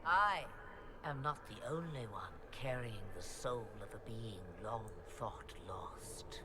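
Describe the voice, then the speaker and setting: An ancient witch holds forth in a fantasy game, cryptic and vengeful. Her theatrical delivery rises and falls dramatically, with clear background ambience behind it. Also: extremely low pitch, expressive, background noise, heavily acted. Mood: coolly imperious. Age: older. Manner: theatrical, deliberate, ominous. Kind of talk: dramatic video game acting.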